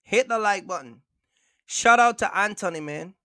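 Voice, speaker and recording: A man's voice: high voice, animated, normal speed, bright, forceful tone, clean tone, no echo, studio quality. A man hosting a trucking livestream, talking casually and emphatically, repeating himself and asking viewers for likes. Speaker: trucking channel host.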